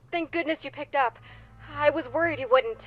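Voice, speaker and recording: A woman's voice: high-pitched, moderate pace, muffled recording, natural voice. An actress playing an earnest, worried young woman in a visual novel game. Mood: urgent, worried.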